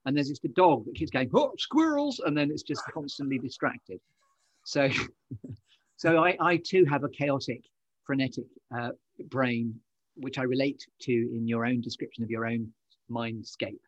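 A man's voice: mid-range voice, expressive, moderate pace, dry recording. A man hosting a podcast in casual interview chat, on slightly dull, lo-fi audio. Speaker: podcast host.

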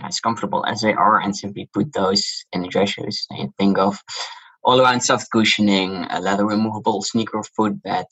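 Dutch-accented man, husky and low. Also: a bit bright, low-quality recording. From Netherlands. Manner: measured, businesslike explaining. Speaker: shoe brand founder, guest. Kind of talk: interview answers.

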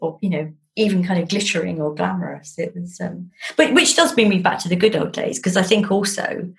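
Animated woman chatting in an interview. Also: fairly low pitch, a little fast, vocal fry. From London, England. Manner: animated, emphatic, repeating words for effect. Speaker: author guest. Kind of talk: casual conversational interview.

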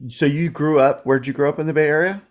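A man hosting a podcast, asking questions in a friendly, curious, slightly halting way. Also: on the high side, fairly even intonation. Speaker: podcast host. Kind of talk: casual interview questions.

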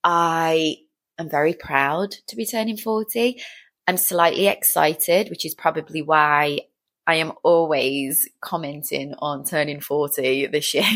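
Low-pitched chatty woman around forty. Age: about forty. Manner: chatty, rambling, upbeat and encouraging. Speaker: fitness trainer and business owner hosting a show. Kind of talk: casual solo chat, personal update.

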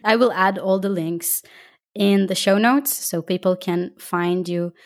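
A woman's voice: medium pitch, unhurried. Israeli-accented woman podcast host. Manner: effusive, thankful, encouraging. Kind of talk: warm interview wrap-up and scripted outro.

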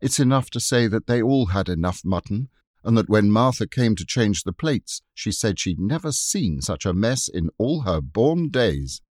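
A man narrating a classic children's book, expressive and wryly amused, read into a close studio mic. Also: lowish voice, normal speed, no echo. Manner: expressive, wry. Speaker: audiobook narrator. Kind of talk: storybook narration.

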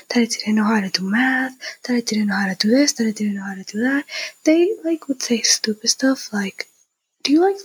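A nineteen-year-old woman with a husky, breathy voice tells a personal story in a casual, candid, youthful way, repeating phrases for emphasis. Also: on the high side, no echo, rough recording. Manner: youthful conversational, emphatic repetition.

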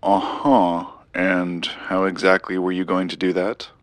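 A man's voice: low voice, level tone, unhurried, no echo. A man playing a wry, reluctant collector with a dry, skeptical manner in an audio drama podcast. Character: wry, reluctant, disreputable male antiques collector.

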